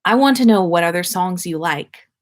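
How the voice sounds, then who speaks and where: A woman voicing a shy, awestruck teenage schoolboy in a story, hushed, halting and earnest. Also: fairly low pitch, animated, lightly acted. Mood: earnest, pleased.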